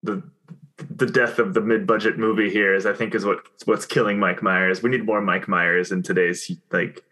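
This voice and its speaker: Low-voiced rambling man talking movies. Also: flat delivery, moderate pace, sharp tone, poor audio. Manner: rambling, restarts sentences, laughs often. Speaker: podcast co-host. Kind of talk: casual movie chat.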